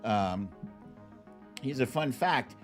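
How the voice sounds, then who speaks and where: An American man hosts a casual livestream at a slow, unhurried pace. His voice sits at a medium pitch, and he talks informally and expressively to his viewers. Music plays behind him. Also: animated. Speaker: host of a live online talk show. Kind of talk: casual livestream chat and commentary.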